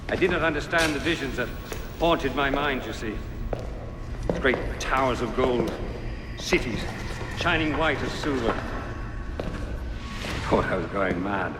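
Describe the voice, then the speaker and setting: An actor playing a grave, fanatical Templar villain in a video game, speaking in a measured, theatrical, preaching way. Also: male voice, medium pitch. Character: grave fanatical Templar mastermind, a dying male visionary villain. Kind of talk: dramatic video game acting. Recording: echoey hall reverb, noisy ambience behind.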